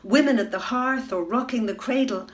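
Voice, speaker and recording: A middle-aged woman reading a children's bedtime story, unhurried and expressive, dropping to a hush at tense moments. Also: on the high side. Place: room at home, indoors. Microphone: webcam facing the reader.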